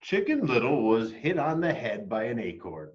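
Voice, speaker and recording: An American man in his forties reading a children's storybook aloud with animated expression, recorded on a camera close by. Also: mid-range voice, normal speed, highs cut off. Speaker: storybook reader for a school.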